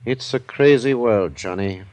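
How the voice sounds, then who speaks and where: A man's voice: mid-range voice, unhurried, a little mellow, no echo, lightly acted. A man acting as a weary, sardonic but sentimental police detective in a 1950s radio drama. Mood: somber, brooding. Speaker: supporting actor.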